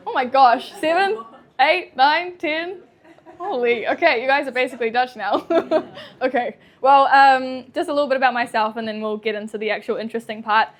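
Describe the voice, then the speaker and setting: A young woman with a New Zealand accent gives a talk to an audience indoors. Her voice sits a little high, and she sounds nervous but chatty. She laughs often and fills her pauses with ums. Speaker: chiropractor giving a talk.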